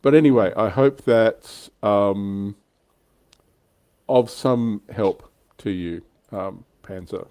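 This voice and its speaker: A man's voice: lowish voice, takes it slowly, a little mellow, clear, resonant tone, dry recording, studio quality. A man hosting a casual livestream chat about tabletop wargaming in an informal, conversational way. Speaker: livestream host.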